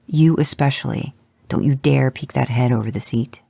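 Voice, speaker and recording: Dean, a reader doing a gruff hunter barking orders. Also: medium pitch, normal speed, dark tone, dry recording. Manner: curt orders, dry sarcasm. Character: Dean, gruff male monster hunter, commanding.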